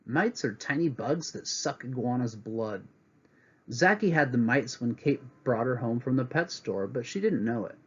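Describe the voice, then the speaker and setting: A man's voice: medium pitch, slightly rough, lo-fi sound. A man teaching a read-aloud lesson to children, reading a picture book and adding teacherly asides from home.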